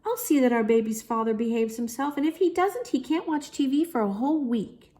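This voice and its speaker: A woman's voice: sits high, fairly quick, mellow, voice pushed up, close to an everyday voice. A woman voicing an anxious, pleading mother in a children's storybook, urgent and dramatic.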